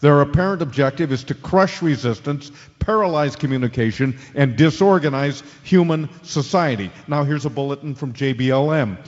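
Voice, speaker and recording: Actor as radio news anchor, echoing and muffled. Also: male voice, a little high, moderate pace, few pauses, natural voice. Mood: grave, urgent. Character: male radio news anchor, steady during alien invasion. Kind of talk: radio drama news broadcast. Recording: dull lo-fi audio with long reverb.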